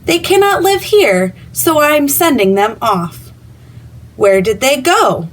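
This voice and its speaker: A woman's voice: sits high, big pitch swings, slow pace, distant mic, heavily acted. A woman voicing an apologetic, sorrowful creature in a children's storybook, with a plaintive lilt. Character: apologetic, sorrowful creature sending birds away. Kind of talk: children's storybook read aloud.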